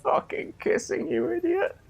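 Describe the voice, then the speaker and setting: A man's voice: very high voice, fairly even intonation, normal speed, vocal fry, full character voice. A man playing a crude joking colleague. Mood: mocking. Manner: mocking, swears casually.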